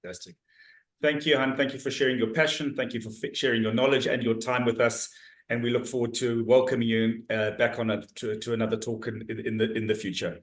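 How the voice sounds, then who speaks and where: A man's voice: mid-range voice, flat delivery, fast talker. A middle-aged South African man hosting an online talk, reading out audience questions in a friendly, loose way with frequent restarts. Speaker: host and moderator of a talk series. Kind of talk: moderating online Q&A. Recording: roomy echo on a video call.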